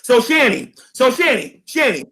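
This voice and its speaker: A hoarse man in a heated livestream argument, insistently repeating the other person's name as he pleads and accuses. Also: extremely high pitch, very animated, moderate pace, sharp tone. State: hoarse, losing his voice. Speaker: YouTuber.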